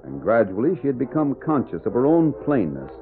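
A man's voice: medium pitch, big pitch swings, brisk, natural voice. A man with an American accent performing as a dramatic narrator of an old-time western radio serial. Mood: solemn storytelling. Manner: dramatic, theatrical. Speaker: announcer and narrator. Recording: tinny old radio recording with music.

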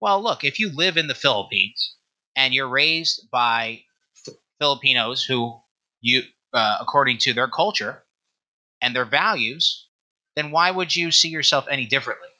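A man speaking as an academic interview guest, explaining research in an emphatic, halting way with repeated phrases. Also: mid-range voice, sharp tone, poor audio. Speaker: guest author and historian discussing own book.

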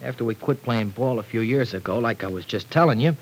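An actor playing a streetwise young city gang member in a 1940s radio drama, talking tough, sarcastic and bitter in slangy speech. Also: male voice, mid-range voice, fast talker, slightly rough, low-quality recording.

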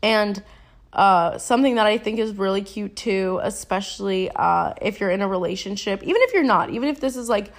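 A woman's voice: medium pitch, a little fast. A young woman hosts a casual solo podcast while she is sick, sniffling as she talks. She rambles in a chatty, slangy way and laughs at herself. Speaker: podcast host.

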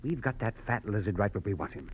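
A man's voice: fairly low pitch, lively intonation, quick pace, breathy edge. Casting: earnest male investigator, emphatic and polished, on a dull vintage radio recording. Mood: insistent. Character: earnest, excitable male lawman hiding a crooked streak. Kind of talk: classic radio drama acting. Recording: muffled noisy old radio recording.

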